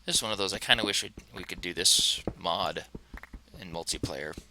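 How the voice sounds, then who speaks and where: A man casually talking to himself as he plays a game, rambling in a relaxed way. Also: low-pitched, normal speed, a little hiss or hum. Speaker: let's play host. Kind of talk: casual gameplay commentary.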